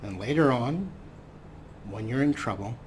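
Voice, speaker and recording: A man's voice: mid-range voice, animated, measured pace, slight background noise. A man hosting a game let's play, commenting in a sarcastic, self-mocking way with casual swearing. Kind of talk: gameplay commentary.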